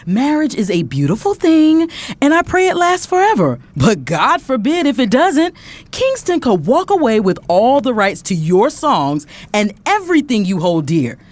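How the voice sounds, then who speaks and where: A woman acts out a controlling sister in an audio drama. Her highish voice is very animated, and she is blunt, pointed and persuasive. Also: normal speed. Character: blunt, controlling sister pushing business over romance.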